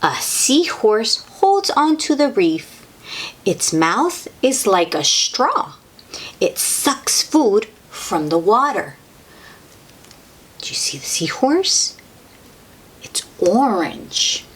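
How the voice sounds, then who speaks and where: Woman for children's read-aloud: very slow delivery, lively swooping intonation, simple questions to the young listener. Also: a little high, slightly rough. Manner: child-directed, asks the listener questions, exclaims in wonder. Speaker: host reading picture books aloud to children.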